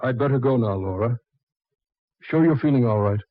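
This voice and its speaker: Family doctor, male actor for vintage radio chiller: gentlemanly, considerate, husky, on a muffled recording. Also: mid-range voice, normal speed, lightly acted. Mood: solicitous. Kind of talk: 1940s radio horror acting.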